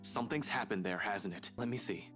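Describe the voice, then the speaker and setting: A man's voice: lowish voice, a little fast, music in the background, close to an everyday voice. An actor playing a serious, impatient but protective young man in a visual novel. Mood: concerned.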